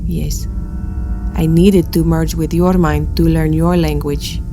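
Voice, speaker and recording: A low, soft-edged voice for a female alien ghost acted by a woman in a space audio drama. She is honorable and sorrowful, speaking with solemn earnestness. Faint music sits behind her. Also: little pitch movement, takes it slowly. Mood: solemn, apologetic.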